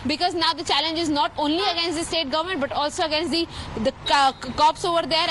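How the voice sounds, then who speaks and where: A woman's voice: high voice, a bit bright, low-quality recording. A woman with an Indian English accent giving an unscripted live news report in wordy, repetitive phrases. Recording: clear street noise with vehicles.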